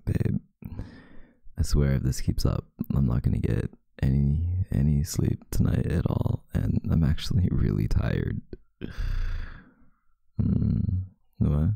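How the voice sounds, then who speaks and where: Very deep, gravelly, drowsy young man murmuring. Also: expressive, slow, no echo. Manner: soft, drowsy murmuring, partly breathy and whispered. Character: sleepy, affectionate, teasing boyfriend in bed. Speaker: ASMR roleplay performer. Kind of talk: intimate ASMR boyfriend roleplay, pillow talk. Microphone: close microphone, dry sound.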